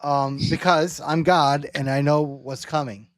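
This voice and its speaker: A man hosting a casual theological discussion, thinking aloud in halting, rambling phrases and often checking in with rhetorical questions. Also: a little high, steady tone, no echo. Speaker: host.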